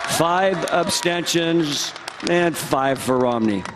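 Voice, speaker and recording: A man's voice: a little high, animated, slow, background noise. A man announcing his delegation's votes in a proud, declamatory roll-call speech. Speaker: state delegation spokesman. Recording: convention floor crowd.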